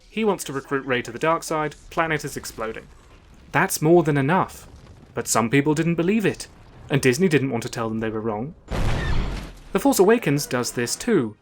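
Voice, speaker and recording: A man hosting a scripted video essay, narrating in a measured, analytical and argumentative way. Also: on the high side.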